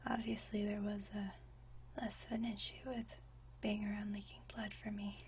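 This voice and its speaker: A woman playing a gentle, nostalgic century-old vampire in a whispered ASMR roleplay, speaking softly and intimately with unhurried, genteel old-fashioned phrasing. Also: mid-range voice, level tone, distant mic, a little hiss or hum, close to an everyday voice. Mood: reminiscing. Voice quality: soft breathy whisper.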